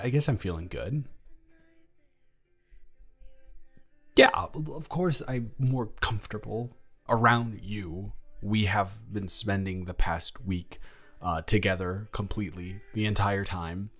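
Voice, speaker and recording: A man playing an awkward, affectionate high school boy in a romantic audio roleplay, speaking in a rambling, stammering way. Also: faint music behind. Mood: flustered, affectionate. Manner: halting, rambling, stammering, self-deprecating.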